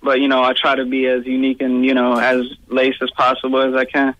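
A young man talks quickly and easily, his words running together in a relaxed, filler-heavy flow. His voice stays fairly level, with little rise and fall. The sound is dry and a little muffled. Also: mid-range voice, no echo. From Pakistan-born, raised in Virginia and Toronto area. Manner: laid-back, loose, heavy on "like" and "you know what I'm saying". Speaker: guest musician. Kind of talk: casual interview answers.

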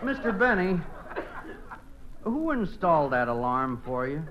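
A man's voice: on the high side, sing-song, a little slow, over a noisy crowd. An actor playing a solemn old vault guard in a 1950s radio comedy, drawing out his challenges. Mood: mild. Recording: muffled, very noisy radio recording with audience.

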